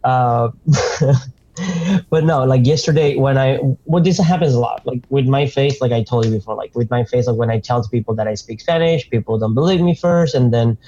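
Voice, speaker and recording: Mellow-voiced man on a dull recording. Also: mid-range voice, moderate pace. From Argentina. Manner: loose, laughing, fillers and restarts. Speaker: podcast co-host. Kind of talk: casual chat.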